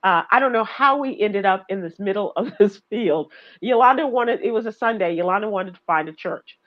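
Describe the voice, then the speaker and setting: A woman's voice: medium pitch, expressive, a little fast, dull audio. A woman radio host telling travel stories in casual chat, laughing and acting out voices as she goes. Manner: chatty, laughing, acts out voices in her stories.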